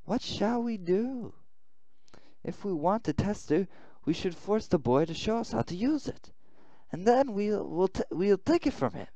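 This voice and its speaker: A man's voice: expressive, measured pace, dark tone, dry recording. A man voicing an obedient, scheming military subordinate in a visual novel, in a put-on voice. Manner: raised, put-on pitch.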